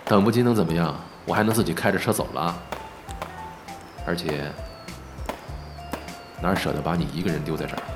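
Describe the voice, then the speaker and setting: A man's voice: deep voice, fairly quick, long pauses, natural voice. A man playing a teasing, secretly caring big-brother entertainer in a Chinese audio drama, languid and grumbling yet indulgent. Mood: teasing, impatient. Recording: music behind the voice.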